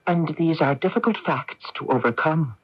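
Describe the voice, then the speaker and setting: A woman's voice: low voice, normal speed, breathy edge. A polite, earnest lady, lo-fi radio. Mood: doubting. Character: Miss Bannister, polite, earnest, questioning woman. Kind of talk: 1980s radio drama acting. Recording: dull lo-fi 1980s AM radio recording.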